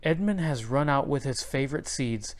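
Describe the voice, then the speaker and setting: A man reading a children's picture book aloud, in clear and expressive storybook narration. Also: mid-range voice, moderate pace.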